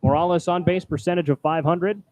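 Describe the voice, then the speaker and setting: A man calling live baseball play-by-play from a booth as announcer, projecting loudly on the calls. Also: on the high side, steady tone, brisk. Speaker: baseball play-by-play announcer, also doing public address. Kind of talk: live play-by-play sports commentary. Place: press booth at a ballpark. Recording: clear background noise.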